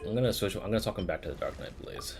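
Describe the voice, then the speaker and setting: Low-voiced man streaming over music. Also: rapid-fire. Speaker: game streamer. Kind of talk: reading game text aloud and casual commentary. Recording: clear game music behind voice.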